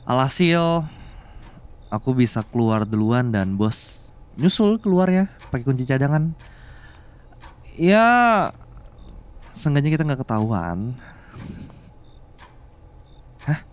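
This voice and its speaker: A male voice actor plays a caring young man in an office-romance audio roleplay, sweet on his older boss. His voice sits at an average male pitch. He speaks slowly, with bashful hesitations and a playful, coaxing manner. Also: very animated, clean tone. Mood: relieved, light. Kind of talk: Indonesian ASMR romance roleplay.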